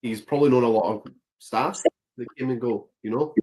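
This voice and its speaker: A man's voice: mid-range voice, fairly quick, a little mellow, poor audio. Male guest with a mid-range voice, explains things thoughtfully, plenty of "you know". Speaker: paranormal investigator guest. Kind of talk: casual chat.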